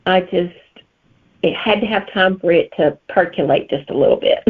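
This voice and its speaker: A woman's voice: lowish voice, moderate pace, slightly rough. A middle-aged or older woman from Alabama with a Southern accent, answering interview questions as a guest author. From Alabama, United States. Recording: tinny phone-like line.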